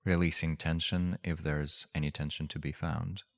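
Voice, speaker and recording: A man leading a guided meditation as a teacher, speaking gently and unhurriedly with long pauses. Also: a bass voice, little pitch movement, vocal fry.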